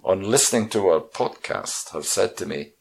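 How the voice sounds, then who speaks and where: A man's voice: low voice, expressive, moderate pace, creaky, rough recording. A middle-aged man from Scotland who teaches languages, co-hosting a podcast, talking casually and hesitantly. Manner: hesitant, repeats words when starting. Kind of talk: casual conversational chat.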